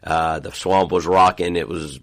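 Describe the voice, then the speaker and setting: A middle-aged pastor talks in a very low, slightly hoarse voice. He speaks in an easy, relaxed way and lets his sentences trail off with fillers. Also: unhurried. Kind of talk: casual podcast chat.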